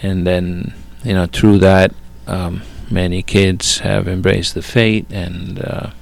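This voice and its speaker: A middle-aged man from Italy speaks slowly in a low, gravelly voice. He gives a personal faith testimony, stopping often and restarting his sentences. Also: flat delivery. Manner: halting, many fillers and restarts. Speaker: guest father. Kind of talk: faith testimony, casual talk.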